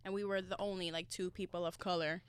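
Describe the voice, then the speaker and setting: A young woman, a guest on a casual podcast, speaking quickly and earnestly and often chiming in to agree. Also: on the low side, level tone, smooth, no echo. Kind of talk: casual chat.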